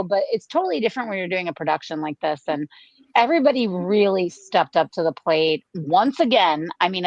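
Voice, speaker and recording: A woman's voice: medium pitch, no room sound. Effusive woman, slightly dull dry recording. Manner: effusive, emphatic. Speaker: radio play performer. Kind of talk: casual chat.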